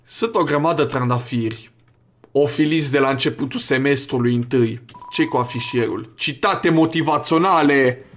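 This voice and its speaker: A man's voice: mid-range voice, a bit bright. A man hosting a gaming video, chatting casually in a crude, profane, rambling way. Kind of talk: casual let's play commentary.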